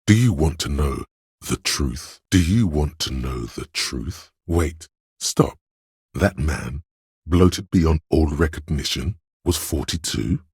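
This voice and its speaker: A man playing a detective's analytical inner voice in a video game, speaking with grand, deliberate precision and a deep, gravelly, husky tone. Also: animated, darkish tone, dry recording, studio quality, full character voice. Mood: grave, theatrical. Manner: deliberate, precise, portentous, theatrical. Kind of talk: dramatic video game voice acting, measured narration.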